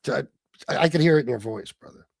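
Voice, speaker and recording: A man's voice: medium pitch, fast talker, vocal fry, no room sound, clean studio sound. A man hosting a livestream, arguing heatedly with a caller, cutting in and reading facts aloud. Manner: combative, cuts in over the caller; reads search results aloud. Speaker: livestream host. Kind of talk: heated call-in debate.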